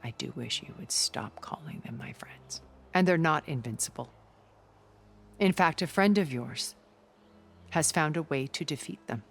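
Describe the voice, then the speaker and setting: An actress playing a poised, persuasive immortal older woman with measured, elegant phrasing in a cinematic video game. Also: sits low, animated, vocal fry, breathy edge, exaggerated voice. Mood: confident.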